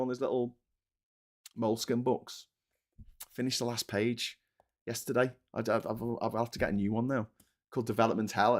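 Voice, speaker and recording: A man in his forties with a Scouse accent, hosting a casual livestream chat from home, frank and animated, swearing freely as he talks. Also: medium pitch. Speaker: host. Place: home room.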